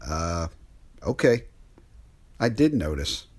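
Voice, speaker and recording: A man acts a worn-out, skeptical truck driver for a radio mystery. The voice is husky and swings widely in pitch, with folksy wisecracks in plain working talk. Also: big pitch swings, slow, full character voice. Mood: amused, skeptical. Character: weary, skeptical, joking male truck driver. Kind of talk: radio mystery drama acting.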